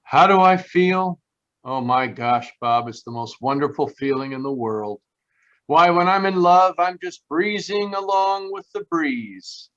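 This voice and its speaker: A bashful, gushing sweetheart of a fellow from an old radio commercial, acted by a man, with a bright, forward voice. He is folksy and earnest, and the recording is a little dull. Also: fairly high pitch, expressive, measured pace. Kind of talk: 1930s radio commercial acting. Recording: slightly dull, lo-fi connection.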